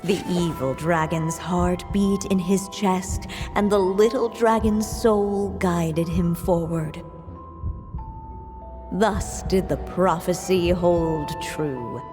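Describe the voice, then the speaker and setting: A woman playing a solemn storyteller in a video game, narrating a fairy tale in a deliberate, lyrical way. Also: fairly low pitch, in a large hall, music in the background.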